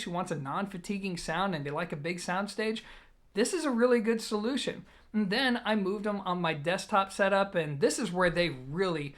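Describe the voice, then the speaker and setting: High-pitched man reviewing audio gear. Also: fairly bright tone. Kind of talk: product review to camera, conversational commentary.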